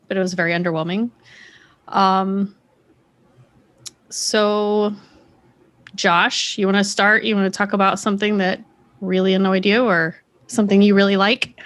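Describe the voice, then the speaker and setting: A woman's voice: mid-range voice, fairly bright tone. A woman hosting a casual panel chat in a conversational way. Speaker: host of a discussion show.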